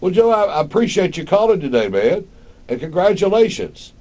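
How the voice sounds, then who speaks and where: A man's voice: high voice, sing-song, warm tone. Folksy radio host, male. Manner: folksy, halting with repeated words, addresses people as man. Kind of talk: live radio interview and promotion chat.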